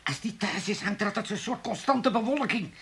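A man's voice: high-pitched, fairly quick, gravelly, a bit bright, husky, heavily acted. An actor playing an older, sociable tippling father in a comic radio drama, with a rambling, complaining manner. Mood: grumbling. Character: grumbling, sociable old tippling father and grandfather.

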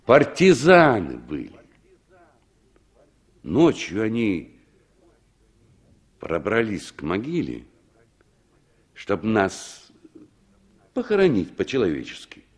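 Slow, low-voiced war veteran reminiscing. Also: male voice, heavily acted. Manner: unhurried, expressive storytelling with pondering pauses. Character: former Soviet war commander, quietly recalling his survival. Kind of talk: 1960s Soviet radio drama acting.

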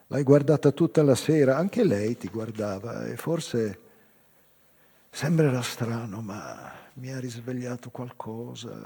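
An actor playing an old, weary Azorean tavern singer with a hoarse smoker's voice, delivering a wry, confiding theatrical monologue. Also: male voice, on the low side, mellow, heavily acted. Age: older. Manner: brooding, confiding monologue to a silent stranger. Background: live acoustic guitar.

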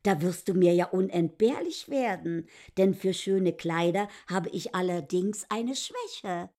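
A woman's voice: lowish voice, expressive, a little slow, mellow. An actress playing a haughty, suspicious inn landlady in a literary radio drama, talking in an imperious, probing, mocking way.

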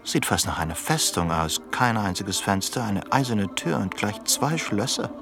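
An actor playing a sceptical, inquisitive investigator in a radio play, probing with questions. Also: male voice, fairly low pitch, big pitch swings, moderate pace, vocal fry, over music. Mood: hushed, puzzled. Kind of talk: German radio play acting.

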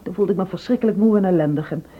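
An actress playing an earnest, polite sister in a radio play. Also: female voice, on the low side, rapid-fire, lightly acted. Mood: uneasy, confiding. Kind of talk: Dutch radio drama acting. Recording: muffled old radio play recording.

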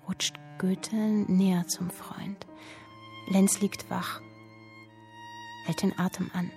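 An actress reading an intimate literary tale within a radio drama, hushed and deliberate. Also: female voice, fairly low pitch.

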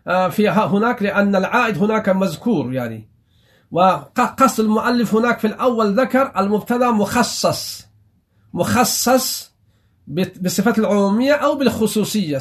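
High-voiced man teaching grammar. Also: a bit bright. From Afghanistan. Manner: explains slowly, repeats phrases and asks himself rhetorical questions. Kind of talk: grammar lesson.

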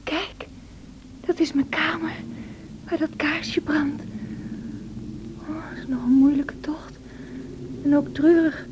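An actress playing a feverish, wild and distraught young married woman in a radio drama, with a breathy, anguished delivery. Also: sits high, steady tone, slow pace, pauses often. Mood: delirious, yearning. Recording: noisy old radio play recording.

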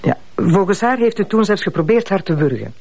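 An actress with a Flemish accent playing a bossy, by-the-book deputy prosecutor in a TV crime drama, formal and exasperated in manner. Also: female voice, mid-range voice, sing-song, a little fast, darkish tone, a little hiss or hum. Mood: stern, formal. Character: stern, by-the-book female deputy prosecutor in charge. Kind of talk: naturalistic TV crime drama acting.

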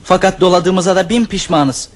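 A man's voice: sits high, normal speed, lightly acted. A man playing a hesitant teenage son. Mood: eager. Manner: hesitant, stammering with fillers. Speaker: radio drama actor.

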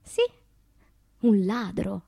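An actress playing a passionate, wounded woman poet confessing her loves in a theatrical, poetic radio monologue, moving between hushed and impassioned delivery. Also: mid-range voice, steady tone, very slow, gravelly, slightly rough, no echo, studio quality, exaggerated voice. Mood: anxious, recounting.

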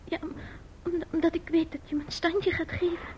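A woman's voice: very high voice, level tone, fairly quick, a little mellow. An actress playing a childish, coquettish newlywed wife in an old radio drama, with a girlish, pouting, wheedling delivery. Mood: sulky, hurt. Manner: pouting, wheedling, stammering when upset. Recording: dull, faintly noisy old radio recording.

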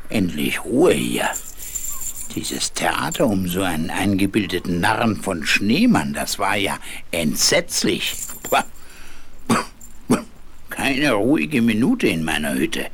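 An actor playing a grumpy, spiteful old farm dog in a children's radio play, hoarse and sarcastic. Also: male voice, low-pitched, a bit bright, heavily acted. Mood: grumbling relief. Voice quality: hoarse, weak bark of a voice. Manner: grumbling, sarcastic. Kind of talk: 1970s German children's radio play acting.

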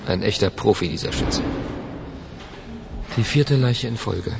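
A man's voice: fairly low pitch, unhurried, gravelly, noisy background. An actor playing a matter-of-fact, wryly gloomy male police detective in a radio play. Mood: grim, matter-of-fact. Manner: matter-of-fact, clipped, wry.

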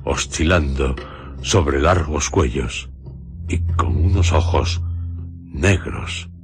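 A man with an extremely deep voice hosts and narrates a radio drama. He takes his time, placing short literary phrases with deliberate, suspenseful pauses. Faint music plays behind him.

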